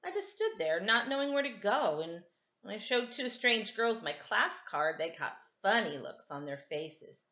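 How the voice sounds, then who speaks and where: A woman's voice: mid-range voice, sing-song, normal speed, pushed and bright. A woman reading a storybook aloud for children at home, with casual asides to her listeners. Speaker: storytime reader. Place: indoors at home, kitchen and another room. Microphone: camera microphone. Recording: echoey room, slightly dull camera audio.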